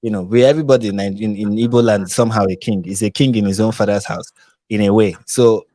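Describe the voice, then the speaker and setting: A Nigerian man hosting a live discussion, talking with animated emphasis and frequent restarts, his voice rising when he stresses a point. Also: fairly low pitch, quick pace, slight background noise. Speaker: host.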